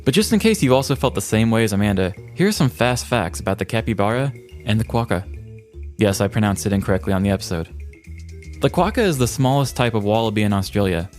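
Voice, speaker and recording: Low-voiced, wry man co-hosting a podcast. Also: lively intonation, fast, darkish tone, soft background music. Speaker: podcast co-host. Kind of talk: casual comedic chat with read-out fun facts.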